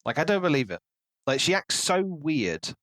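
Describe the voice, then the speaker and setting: A man's voice: on the high side, very animated, normal speed, fairly bright tone, dry recording, clean studio sound. A man co-hosting a casual book discussion, giving his opinions in an analytical way. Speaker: podcast co-host.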